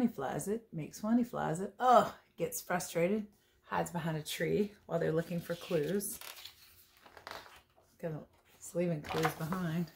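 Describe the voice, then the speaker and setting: A woman reading a picture book aloud as a teacher would, expressive and playful, with chatty asides about the pictures. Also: lowish voice. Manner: playful, chatty, commenting on the pictures as she goes. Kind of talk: storybook read-aloud with casual asides.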